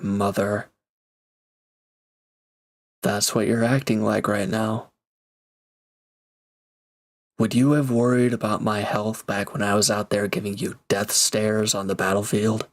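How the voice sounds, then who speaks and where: A reformed villain acted by a man in an intimate audio drama, speaking slowly in a lowish voice. He is gruff and guarded on the surface, with halting, exasperated delivery that shows he secretly cares. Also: level tone. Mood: teasing, defensive. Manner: halting, sighing, exasperated but caring. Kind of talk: intimate audio roleplay acting.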